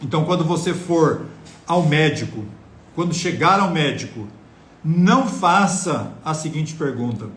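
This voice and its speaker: A man's voice: a little high, animated, a little slow, a bit bright, echoey room. A man giving a live-streamed health talk, lecturing viewers earnestly and asking them rhetorical questions. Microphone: phone on mobile data.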